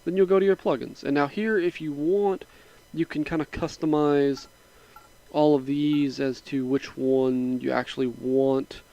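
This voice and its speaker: A man with a slightly raspy voice from a sinus infection, casually narrating a step-by-step walkthrough at his computer, rambling a little with fillers. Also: fairly high pitch, measured pace, highs cut off. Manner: relaxed, rambling, with frequent fillers. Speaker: mod tutorial narrator. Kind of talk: casual screen-recording walkthrough.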